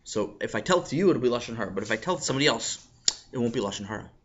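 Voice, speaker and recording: A man voices a nervous storybook schoolboy who keeps his voice low and hurried. He sounds flustered and eager to get away. The tone is bright but the recording is dull. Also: animated. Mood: evasive. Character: nervous, anxious schoolboy Yossi.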